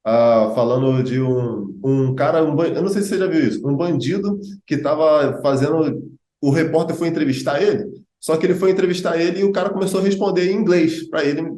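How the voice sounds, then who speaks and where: A man's voice: on the high side, a little fast, warm tone, echoey room. A Brazilian man, an English teacher and host, explaining in a chatty way with many fillers and asides. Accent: Brazilian Portuguese. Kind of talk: casual explanatory chat.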